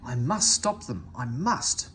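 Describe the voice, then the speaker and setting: A man's voice: medium pitch, expressive, slow, a bit bright, a little hiss or hum. A man voicing a polite, earnest and plucky little tank engine in a children's storybook. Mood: straining.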